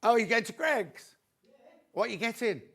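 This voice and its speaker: A man hosting a live shopping show, chatty and friendly, reading out viewer messages with a slightly croaky voice. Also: very high voice, animated, moderate pace, background noise. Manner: chatty, reads viewer messages aloud warmly. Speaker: shopping channel host.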